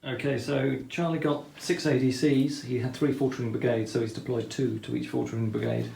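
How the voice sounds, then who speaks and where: Gravelly man walking through a wargame. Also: medium pitch, fairly even intonation, brisk, darkish tone, roomy sound, slight background noise. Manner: methodical, explains rules step by step. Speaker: wargame player explaining turns. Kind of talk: tabletop game commentary.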